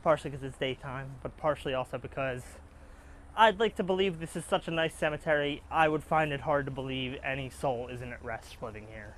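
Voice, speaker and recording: A man's voice: medium pitch, brisk, fairly bright tone, slight background noise, poor audio. A young man hosting a casual vlog outdoors, chatting and joking on a handheld camera. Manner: chatty, joking, gives spookiness ratings. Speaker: vlog host. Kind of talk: casual chat. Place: outdoors in a sunny cemetery. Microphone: handheld camera on a gimbal.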